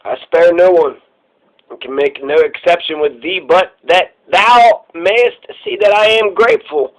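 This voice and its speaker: Guy doing death himself from a storybook, stern and grave, old-timey wording, slow. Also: high voice, pitched below the performer's own voice, low-quality recording. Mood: solemn. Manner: grave, archaic speech. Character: Death personified, solemn, stern male reaper.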